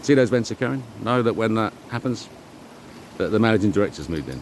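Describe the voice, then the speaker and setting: A middle-aged man giving a rambling, informal monologue, speaking emphatically and self-assuredly in halting, repeated phrases. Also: on the low side, lively intonation, slight background noise. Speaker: vlogger.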